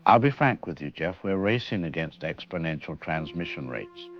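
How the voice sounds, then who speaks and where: A man playing a frank, grave disease-control doctor giving a measured, clinical briefing in an audio drama. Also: deep voice, expressive. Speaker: expert interviewed on radio. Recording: dull lo-fi radio sound, music behind.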